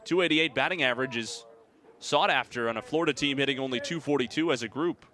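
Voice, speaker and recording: A young American man calling baseball play-by-play in a measured, articulate way. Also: medium pitch, bright, forceful tone. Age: young adult. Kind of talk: sports play-by-play commentary.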